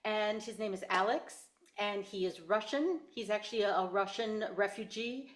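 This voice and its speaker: A woman's voice: mid-range voice, normal speed. A woman hosting a podcast as a voice teacher, introducing and questioning her guest in a chatty, conversational way. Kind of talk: podcast interview.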